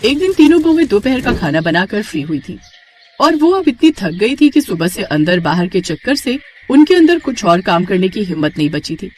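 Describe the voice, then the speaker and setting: Woman narrating a moral story, mid pitch. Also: sing-song, moderate pace, a little mellow, slight background noise.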